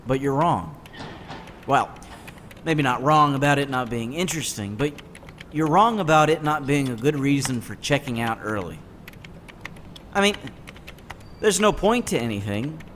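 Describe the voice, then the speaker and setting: A man's voice: medium pitch, animated, unhurried, pauses often, a bit bright, slight background noise. A man playing a gloomy, sardonic teenage boy in a comic dub, with a dry, jaded, matter-of-fact delivery. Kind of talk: scripted comic-dub acting, first-person narration and dialogue.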